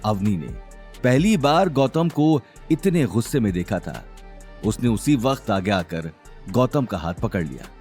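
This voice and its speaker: A man narrating a scripted audio drama in a dramatic, expressive storytelling manner. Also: on the low side, measured pace, faint music behind.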